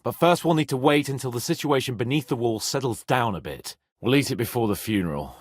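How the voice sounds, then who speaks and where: Man playing a husky, expressive young nobleman. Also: medium pitch, brisk, no room sound, studio quality, natural voice. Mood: grave, subdued. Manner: expressive, irreverent, swearing freely. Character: spirited young nobleman, hungry, profane, bossy but loyal. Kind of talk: video game character voice acting.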